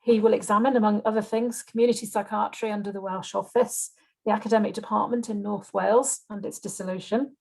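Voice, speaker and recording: A woman's voice: mid-range voice, creaky, husky, low-quality recording. A woman chairing an online event, introducing a speaker informally, partly from prepared notes. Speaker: webinar chair. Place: video call.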